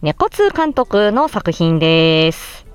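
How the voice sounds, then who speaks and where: A woman's voice: fairly low pitch, very animated, slow pace. A woman voice actor talking casually on her own, presenting her work in a polite, conversational and reflective manner. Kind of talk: casual solo announcement talk.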